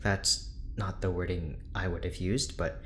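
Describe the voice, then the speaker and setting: A man's voice: deep voice, steady tone, measured pace, slight background noise. A man playing a reserved, weary research doctor in an audio drama, speaking in a guarded, hesitant, clinical way.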